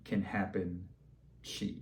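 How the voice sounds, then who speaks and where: A man voicing his laid-back shaman friend, an earnest adviser with emphatic, drawn-out dude-speak in a spoken story. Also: sits low, flat delivery, darkish tone, distant mic, voice pushed down. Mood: grave, emphatic.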